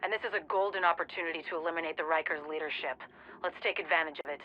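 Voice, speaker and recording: A woman's voice: deep voice, rapid-fire, muffled recording, lightly acted. Determined commander over background noise voiced by a woman. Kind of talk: game cutscene briefing.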